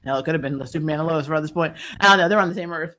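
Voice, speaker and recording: A woman's voice: sits low, racing, fairly bright tone. A woman hosting a casual recap livestream, talking informally and chattily about shows and viewer comments. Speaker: host of a TV recap show.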